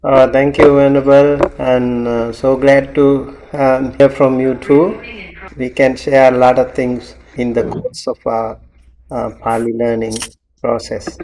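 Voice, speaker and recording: A man hosting a class on a video call, speaking slowly and softly with an even, level tone. He breaks up his thanks with ums and small laughs. A faint hiss sits behind him. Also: medium pitch, rough recording. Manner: slow, soft-spoken, with frequent ums and light laughs. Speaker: Buddhist monk teacher hosting a class. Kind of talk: welcoming students on a video call.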